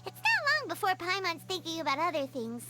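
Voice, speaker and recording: A woman voicing a tiny, excitable floating girl companion who talks about herself in the third person. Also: extremely high pitch, fairly quick, full character voice. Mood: thoughtful. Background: game music.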